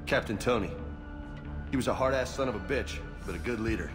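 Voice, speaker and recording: An actor playing a brash, guarded young space marine in a video game, with a casual, slangy swagger. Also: male voice, lowish voice, a little fast, over music, lightly acted. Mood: bitter, guarded.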